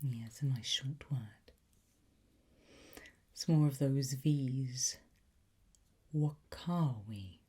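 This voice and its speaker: A woman performs an ASMR roleplay in a very breathy, mostly whispered voice. She speaks extremely slowly and low, pausing like a patient teacher. Her coaxing delivery carries the soft menace of a sly demon. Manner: slow, coaxing, teacherly with pauses.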